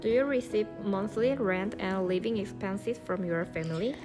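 Woman asking steady interview questions. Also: medium pitch, moderate pace, over music.

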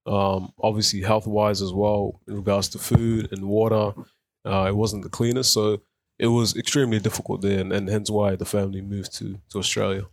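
Low-voiced young man in a dry studio. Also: little pitch movement, moderate pace, no room sound. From South Sudan. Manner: thoughtful, with frequent fillers and restarts. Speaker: guest. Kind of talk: radio interview, personal story. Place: radio studio.

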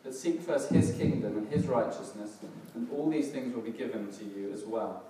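Middle-aged preacher, hoarse and crackly, casual and hesitant; distant mic, strong hall echo, noisy room. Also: male voice, mid-range voice, normal speed. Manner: relaxed, self-deprecating, hesitant with fillers and restarts. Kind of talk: casual church sermon. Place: church hall, in front of a congregation. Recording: distant, very echoey hall with background noise.

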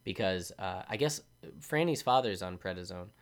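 A man hosts a solo podcast in a sleepy, drowsy voice. His voice sits a little low, and he rambles along casually, breaking off and restarting his sentences. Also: lively intonation, moderate pace. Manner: sleepy, rambling, self-interrupting. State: drowsy from medication. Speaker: podcast host.

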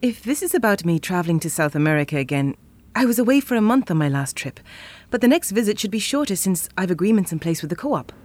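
Playful, very animated chocolatier. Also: female voice, fairly low pitch, quick pace, few pauses. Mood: defensive. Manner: teasing, exuberant, expressive. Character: playful, flirty, ambitious woman chocolatier, adult. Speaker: actor in an audio drama. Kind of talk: audio drama acting.

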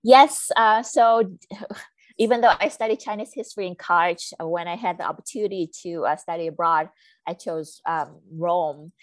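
Woman, thirties, from Vietnam, low voice, dry sound, hesitant thoughtful explaining, conversational interview guest. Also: normal speed, dry recording. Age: 30s. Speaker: academic author, podcast guest.

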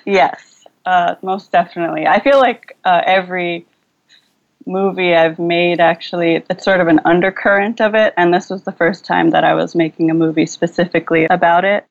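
A woman's voice: on the low side, steady tone, moderate pace, vocal fry, muffled recording. A young woman filmmaker, speaking thoughtfully over a video call and searching for her words with fillers and restarts. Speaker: filmmaker guest. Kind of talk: relaxed video-call interview.

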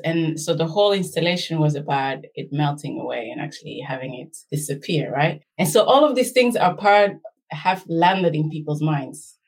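A woman's voice: low-pitched, slightly rough. A middle-aged woman from Belgium, an academic guest speaking reflectively and anecdotally in an interview. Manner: reflective, anecdotal, checks in with "right?".

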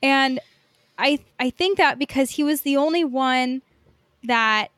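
High-voiced woman, slow and casual. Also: a bit bright, no echo. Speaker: podcast co-host. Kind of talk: casual film and TV chat.

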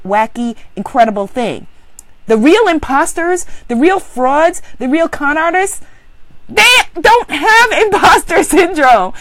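A woman's voice: high-pitched, dry recording. A woman motivational speaker giving an inspirational talk to women, emphatic and exclamatory, with preacher-like repetition.

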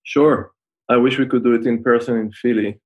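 A man in his forties with an Israeli accent, a podcast guest explaining things in a measured way with frequent pauses over a video call. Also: medium pitch, fairly even intonation, breathy edge, lo-fi sound. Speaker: guest, fintech founder.

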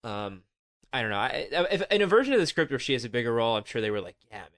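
A man's voice: mid-range voice, very animated, rapid-fire, a bit bright, dry recording, clean studio sound. A man hosting a casual, comedic film chat, wry and jokey, with mock-showman asides to the listeners. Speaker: film podcast host.

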